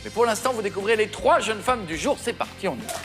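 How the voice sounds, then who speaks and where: Bubbly high-voiced male game show host. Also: expressive, fast. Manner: exuberant, teasing, often shouting. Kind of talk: lively TV show hosting. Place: TV studio with audience. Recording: studio with music and crowd.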